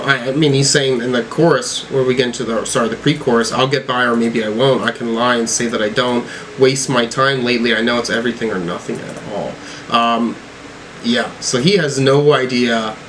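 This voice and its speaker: Male music reactor, mid-pitched, casual and halting commentary, some background hum. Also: fairly bright tone, rough recording. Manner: halting, thinking aloud as he goes. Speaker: music reviewer reacting to songs.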